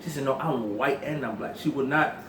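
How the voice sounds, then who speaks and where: A man's voice: mid-range voice, fairly quick. Male anecdote teller, hesitant delivery, echoey and distant. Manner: hesitant storytelling with fillers. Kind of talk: casual anecdote. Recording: distant mic in a noisy, echoey room.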